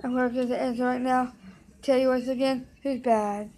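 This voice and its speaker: A woman's voice: high voice, steady tone, moderate pace, low-quality recording. Young woman belting songs at a webcam. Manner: sings along loosely, shouting and chanting at times. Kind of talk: singing songs a cappella to a webcam. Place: indoor office room with desks and computers. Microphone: webcam at close range, with headphones on.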